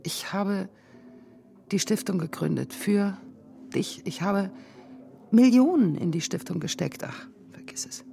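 An actress in a radio drama playing a wealthy, wounded, vengeful middle-aged woman, intense and halting, with a creaky voice. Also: medium pitch, lively intonation, warm tone. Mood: desperate, pleading. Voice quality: creaky voice, often breaking into whispers.

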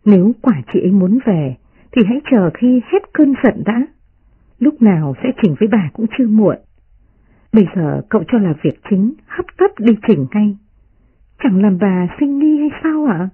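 A performer voicing a sensible young maidservant woman who scolds gently and respectfully, in a storybook reading. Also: medium pitch, animated, moderate pace, husky, dry recording, phone-quality sound, voice pushed up. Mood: earnest, reproving. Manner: earnest, reproving.

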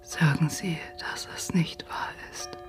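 A woman playing a shattered, stubborn mother in a radio drama, speaking haltingly in a husky voice that often drops near a whisper. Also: soft background music, close to an everyday voice. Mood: pleading. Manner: halting, short numb sentences. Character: shattered mother told of her daughter's death, stubborn, disbelieving. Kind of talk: literary radio drama acting.

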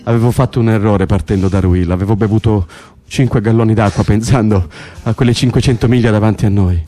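An actor playing an excitable, wry political prisoner in an Italian radio drama, stammering, repeating himself and swearing. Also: male voice, lowish voice, fast, faint music behind, lightly acted. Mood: wry, earthy. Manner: breathless repetitions, stammering, swearing. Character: excitable male political prisoner, agitated, wry, foul-mouthed. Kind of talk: Italian radio drama acting, conversational and intense.

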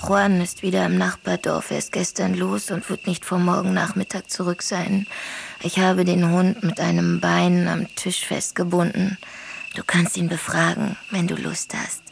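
Hushed teasing village woman. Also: fairly low pitch, flat delivery, moderate pace, creaky, a little hiss or hum. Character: Maria, sly village woman.

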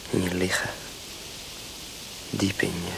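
An actor playing a tender, anxious young lover in a radio drama, earnest and halting, often murmuring. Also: male voice, deep voice, level tone, full character voice. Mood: enraptured, amorous. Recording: clear outdoor nature noise.

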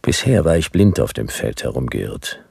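An actor playing a laconic, world-weary private detective who narrates a noir radio drama in a gravelly, husky voice. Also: male voice, a bass voice, big pitch swings, moderate pace, darkish tone, dry recording, exaggerated voice. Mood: dry, laconic. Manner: laconic, dry. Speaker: narrator and lead character.

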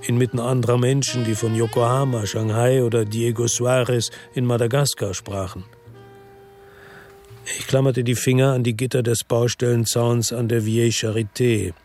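A man's voice: mid-range voice, flat delivery, darkish tone, soft background music, natural voice. An actor playing a brooding investigator who narrates his story in a radio drama. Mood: somber. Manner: slow, somber narration. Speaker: first-person narrator.

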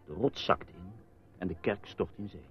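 A man's voice: low-pitched, moderate pace, soft background music, close to an everyday voice. A man acting in a radio drama as a reporter husband, evasive and teasing, with a wry, placating manner. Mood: teasing, storytelling. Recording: dull, muffled radio play recording.

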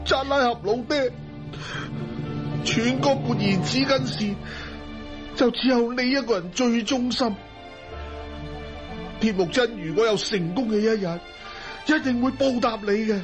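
Young Cantonese clan chief, high pitch, proud and resolute, radio play with music. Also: male voice, measured pace, pauses often. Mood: solemn. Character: determined, proud young male clan leader.

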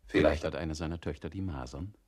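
A man playing a brisk, pedantic logician who supplies assumptions in a radio play. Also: very deep voice, creaky, a little mellow, heavily acted. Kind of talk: scripted radio play delivery.

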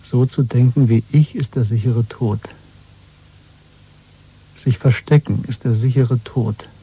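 Weary bitter rebel guy in a radio play, very dark muted husky voice, brooding. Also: medium pitch, steady tone, slow, rough recording. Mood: intense, hushed resolve. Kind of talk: 1970s German radio play acting.

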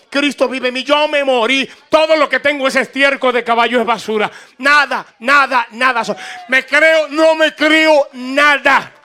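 Man, Latin American Spanish accent, preacher, bright forceful tone, gravelly edge, emphatic, repetitive, informal sermon delivery. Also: very high-pitched. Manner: emphatic, repetitive, prodding listeners to respond. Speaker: preacher taking questions from congregation.

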